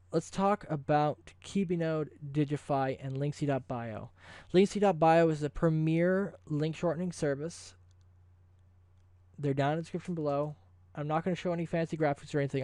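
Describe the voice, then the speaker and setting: A man's voice: on the high side, dark tone, no echo. A man hosting a video, talking casually and off the cuff in an effusive, rambling promotional style. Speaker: video host. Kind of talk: casual ad-libbed promotional vlog talk.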